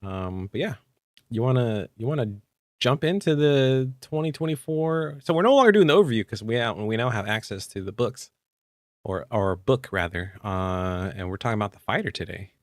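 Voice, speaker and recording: A man co-hosting a tabletop gaming podcast, raspy and hoarse from illness, talking easily with many fillers. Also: medium pitch, expressive, moderate pace, dry recording, clean studio sound. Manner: easygoing, with frequent fillers. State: hoarse, still coughing after illness. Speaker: podcast co-host. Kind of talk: casual chat about tabletop game rules.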